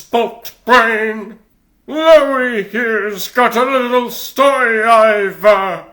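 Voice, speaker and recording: High-pitched, plucky cartoon hero. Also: male voice, sharp tone, heavily acted. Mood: confident. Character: bright, bossy heroic male rabbit superhero leader. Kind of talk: comic book dub cartoon acting.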